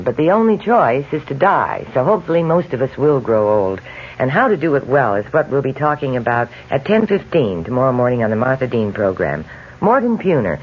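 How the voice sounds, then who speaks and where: Male-range announcer, medium pitch, animated scripted promo read. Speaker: radio announcer. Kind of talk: scripted radio program promo. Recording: muffled 1970s radio broadcast.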